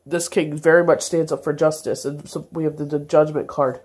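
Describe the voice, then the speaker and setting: A woman's voice: deep voice, steady tone, brisk, darkish tone. A congested woman giving a casual daily tarot reading, meandering with many fillers and self-corrections. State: congested, stuffy nose. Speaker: tarot reader.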